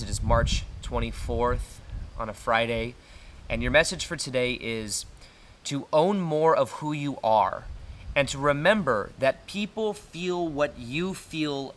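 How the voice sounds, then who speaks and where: Loud, earnest American man. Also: mid-range voice, slight background noise. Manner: earnest, fillers and restarts. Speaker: self-help video host. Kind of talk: casual motivational monologue. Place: retreat in Costa Rica.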